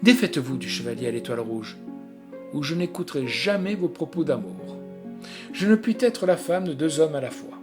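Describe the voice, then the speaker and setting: A man's voice: fairly high pitch, lively intonation, normal speed, over music, lightly acted. A man voicing a proud, reproachful young noblewoman in a storybook tale, speaking in formal courtly phrases. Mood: stern, reproachful.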